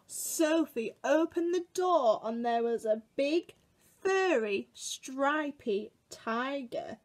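Very animated British woman reading to children. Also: high-pitched, drawn-out words. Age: 20s. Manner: expressive and exaggerated, addressing young children. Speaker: children's museum worker reading a story to children. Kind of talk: animated children's picture-book storytelling. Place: small room at home, indoors.

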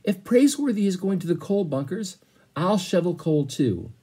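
A man's voice: high-pitched, lively intonation, normal speed, mellow, breathy edge, voice pushed up. A man voicing an earnest, plucky twelve-year-old boy in a storybook, respectful but stubborn. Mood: nervous, determined.

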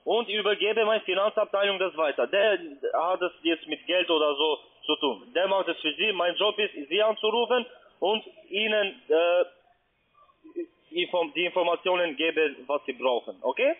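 High-pitched accented man on a phone line. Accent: foreign. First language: not German. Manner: insistent, repetitive, halting. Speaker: call centre agent posing as customer adviser. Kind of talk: unscripted phone sales pitch. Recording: tinny band-limited phone line.

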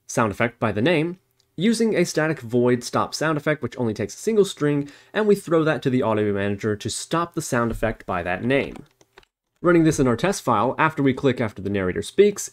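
A man presents a screencast tutorial in a voice of middling pitch. His intonation moves up and down in a lively way as he explains each step in turn. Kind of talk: instructional screencast narration.

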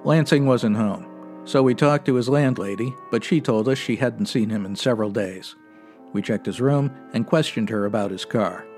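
A man acts out a stoic 1950s detective in a radio crime drama. He speaks in a medium-pitched, deadpan voice with short matter-of-fact phrases, over faint music. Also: normal speed, natural voice. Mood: flat, procedural. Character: stoic, no-nonsense 1950s police sergeant detective.

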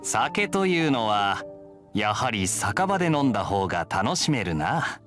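Suave, lowish teasing drinker. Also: male voice, sing-song, measured pace, fairly bright tone, soft background music. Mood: relaxed, amused. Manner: smooth-talking, needling, theatrical. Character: suave, teasing young cavalry officer, sly tavern drinker. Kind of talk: Japanese anime game voice acting.

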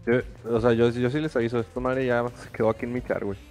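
A man's voice: mid-range voice, flat delivery, fast, darkish tone, faint music behind. A Mexican man chatting casually with fellow players in an online game, using lots of slang and swearing. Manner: slangy, profane. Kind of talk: casual voice-chat banter while gaming. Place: online voice call.

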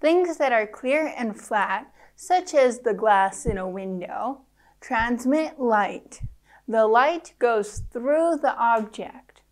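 A young woman narrates an educational script for children. Her voice sits fairly high and she goes very slowly, pronouncing each phrase carefully and leaving pauses between them. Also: animated. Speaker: presenter of a children's science lesson.

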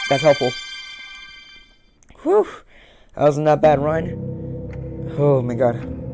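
Young male gamer, animated pitch, creaky voice, casual self-talk, repeated phrases, game music behind. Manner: repeats short phrases to himself. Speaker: gaming video host. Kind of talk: casual gameplay commentary. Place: small room at home, indoors.

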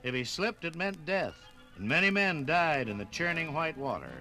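A man's voice: a little high, expressive, moderate pace, sharp tone, faint music behind. An American man narrating a scripted 1950s radio documentary in a dramatic, folksy storytelling manner.

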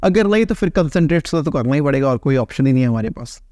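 A man's voice: medium pitch, expressive, fast talker, background noise. A man in his thirties, a veterinarian, explaining to camera in a casual, conversational way and often checking in with "right?". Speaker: veterinarian answering viewer questions. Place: small plain indoor room, seated.

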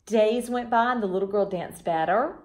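A woman in her thirties reads a picture book to young children at a middle pitch. Her storytelling is expressive and teacherly, with simple explanations added along the way. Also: normal speed. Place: front room of a house, indoors.

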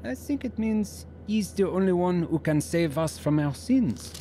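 A mellow, earnest husband. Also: male voice, sits high, lively intonation, a little slow, a little hiss or hum. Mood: reverent, searching. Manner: earnest, reverent. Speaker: actor in audio drama. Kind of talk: radio drama acting.

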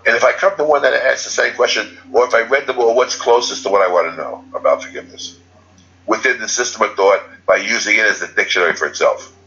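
A man's voice: on the low side, fast talker, creaky, pushed and bright, muffled recording. A man giving an unscripted spiritual talk as a teacher, rambling and correcting himself as he goes. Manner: rambling, restarts and self-corrections.

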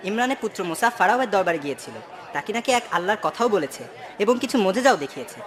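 A woman's voice: mid-range voice, sing-song, racing, music in the background, a crowd in the background, natural voice. A woman voicing a deferential servant and gossiping townsperson in a cartoon. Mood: gossiping, wistful. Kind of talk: cartoon voice acting.

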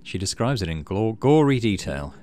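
A man narrating a game playthrough casually, reading text aloud and thinking out loud in an easygoing way. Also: fairly low pitch, very animated, normal speed. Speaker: gaming video host.

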